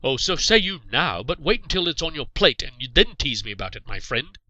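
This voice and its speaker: Man as a boisterous huntsman, high for him, forceful, teasing, drawn-out, medieval storybook. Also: very animated, dry recording, heavily acted. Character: boisterous joking medieval huntsmen trading jeers.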